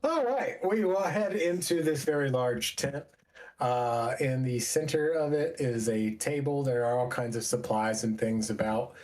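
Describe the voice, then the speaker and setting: A man working as game master, narrating a tabletop role-playing game in a halting, deliberate way. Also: mid-range voice, darkish tone.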